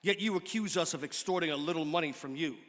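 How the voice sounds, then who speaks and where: A man giving a measured, formal dramatic reading of an old historical text. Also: fairly high pitch, a bit bright. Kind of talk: dramatic reading of an 18th-century pamphlet. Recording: reverberant hall.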